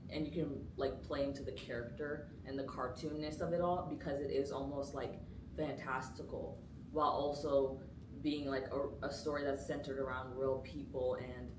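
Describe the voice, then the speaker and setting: A young woman, a student actor, chatting casually about rehearsals and filling her talk with "like". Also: extremely low pitch. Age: young adult. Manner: chatty, lots of "like" fillers. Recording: roomy, distant mic, noisy.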